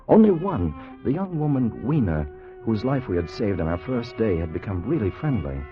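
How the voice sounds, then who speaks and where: A low-voiced, earnest man as a time traveler in a vintage radio play. He delivers his adventure with intense, deliberate drama and widely swinging pitch, on a dull old recording. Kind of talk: dramatic 1950s radio acting and narration.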